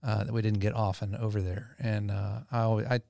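A middle-aged man from Louisiana talks as a podcast guest, easy and rambling, full of fillers and restarts. His voice sits low and has a gravelly rasp. The sound is dry and close. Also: fairly quick, dry recording. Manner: relaxed storytelling, many fillers and restarts. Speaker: tea company co-founder guest. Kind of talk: podcast interview.

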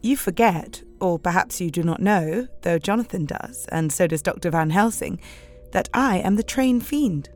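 A woman's voice: lowish voice, expressive, moderate pace, a little mellow, soft background music, natural voice. A woman playing a keen, well-organised Victorian wife, speaking precisely and politely in an audio drama. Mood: matter-of-fact, keen.

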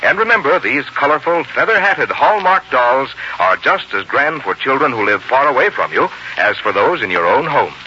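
A man's voice: medium pitch, sing-song, normal speed, few pauses, bright, forceful tone. A man announcing a scripted 1940s radio commercial in a polished, persuasive sales style. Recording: dull, slightly noisy 1940s radio broadcast.

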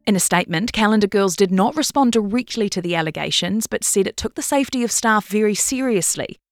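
A woman's voice: medium pitch, expressive, fast, no room sound. A woman reading a scripted long-form news feature as narrator.

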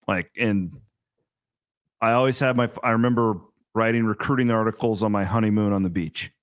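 A man in his late thirties with a fairly low voice, hosting a podcast. He talks in energetic bursts, often restarting a sentence. The sound is dry and close to the mic. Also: moderate pace, no room sound. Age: 30s. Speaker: podcast host. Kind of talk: casual chat.

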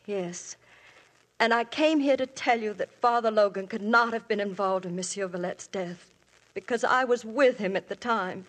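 A woman's voice: medium pitch, normal speed, a bit bright, lightly acted. A woman playing a married woman questioned by police in a 1950s radio drama, earnest and emotional, her voice recalling the past. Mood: defensive. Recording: old radio broadcast.

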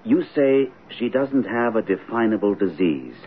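An actor playing a concerned, patient father in a radio drama, speaking earnestly, gently and deliberately. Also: lowish voice, lively intonation, close to an everyday voice. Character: concerned, patient father, imaginative and open-minded. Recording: tinny, noisy old radio broadcast.

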